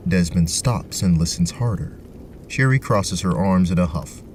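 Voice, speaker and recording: A man narrating a scripted tabletop fantasy adventure in a deliberate, theatrical way. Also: low voice, lively intonation, a little mellow, a little hiss or hum. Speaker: narrator and game host.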